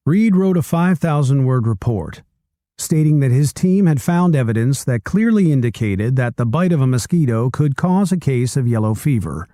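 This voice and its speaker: Guy reading a book chapter aloud, warm mellow voice, really animated delivery. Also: mid-range voice, no room sound, clean studio sound. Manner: expressive storytelling delivery. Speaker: reader.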